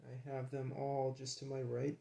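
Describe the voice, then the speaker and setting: A man with noticeable vocal fry talks casually through a hands-on job. He sounds absorbed and admiring, letting small murmured asides out as he works. His voice sits at a middling pitch for a man. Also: monotone, moderate pace, dark tone. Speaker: hobbyist demonstrating a radio rebuild. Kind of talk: casual hands-on narration while working.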